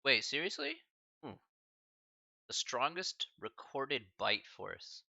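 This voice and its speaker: Chatty math instructor, bright dry voice. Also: male voice, medium pitch, very animated, slow, creaky, no echo, dull audio. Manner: casual, chatty, filler-heavy with slang asides. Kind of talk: live-streamed class lecture.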